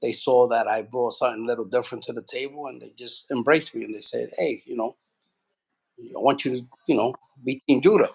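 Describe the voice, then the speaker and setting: A man from Puerto Rico who trains boxers speaks in a mid-pitched, gravelly voice. He tells his story loosely and casually, circling back with plenty of fillers. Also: a little fast, poor audio. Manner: relaxed, rambling storytelling with many fillers. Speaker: boxing trainer. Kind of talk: casual interview.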